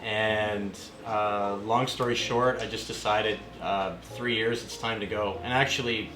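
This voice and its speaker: A man in his forties from Canada, telling stories in a relaxed conversational way with plenty of fillers, as an interview guest in an apartment. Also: on the low side, a little slow, reverberant, a crowd in the background. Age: 40s. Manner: relaxed storytelling with frequent fillers. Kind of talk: casual conversation. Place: apartment room.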